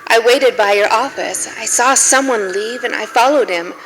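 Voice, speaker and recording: An actress playing a detective's bitter, wounded former lover in a noir radio drama, deliberate, reproachful and melodramatic. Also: female voice, fairly high pitch, fairly bright tone, close to an everyday voice. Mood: sombre. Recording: long hall reverb, faint background noise.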